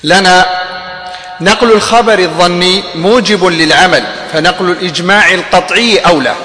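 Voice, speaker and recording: Bright-voiced man reciting a text. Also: high-pitched. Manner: measured recitation of short passages. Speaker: student reading the text aloud. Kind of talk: reading a classical text aloud. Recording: echoey hall.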